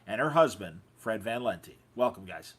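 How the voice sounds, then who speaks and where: A man's voice: lowish voice, expressive, normal speed, a bit bright, breathy edge. Enthusiastic male podcast host. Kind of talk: casual interview chat.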